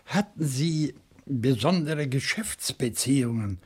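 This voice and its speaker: Elderly bachelor, unhurried, slightly rough voice, thoughtful, probing, radio mystery acting. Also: male voice, mid-range voice, expressive. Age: older. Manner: deliberate, thoughtful, with hesitant pauses. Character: bookish, lonely old French bachelor, probing and cautious. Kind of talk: German radio crime play acting.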